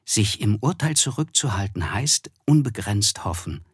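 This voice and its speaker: An actor playing a reflective, principled man who narrates a literary radio drama in a measured, thoughtful way. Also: on the low side, expressive, breathy edge, no room sound, studio quality, heavily acted. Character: Nick Carraway, reflective, reserved, principled male narrator.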